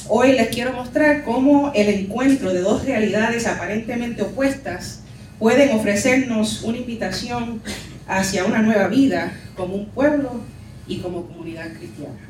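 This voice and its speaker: A woman pastor from Puerto Rico, raised in New York, with a Nuyorican Spanish accent, giving an earnest and measured conference talk. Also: fairly high pitch, a little mellow, slight background noise. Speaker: pastor and lecturer. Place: conference hall. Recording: echoey hall, distant mic, faint music.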